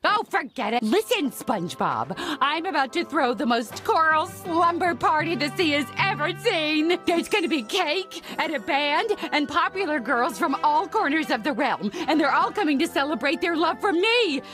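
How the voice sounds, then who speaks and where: A woman's voice: high-pitched, expressive, unhurried, few pauses, fairly bright tone, soft background music. A woman voicing a vain, spoiled teenage whale princess in a cartoon game, haughty and dramatic. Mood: bratty, boastful. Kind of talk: animated cartoon game voice acting.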